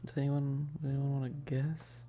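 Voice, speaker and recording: A livestream host chatting casually and informally in a soft, close whispery ASMR delivery into a binaural mic, rambling with teasing asides to chat. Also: medium pitch, flat delivery, normal speed. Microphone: close binaural microphone. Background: tapping and keyboard clicking.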